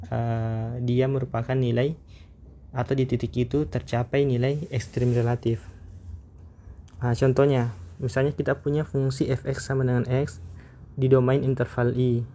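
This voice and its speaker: Mellow-voiced man explaining a math lecture. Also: mid-range voice, slight background noise. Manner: measured, explanatory, frequent hesitation fillers.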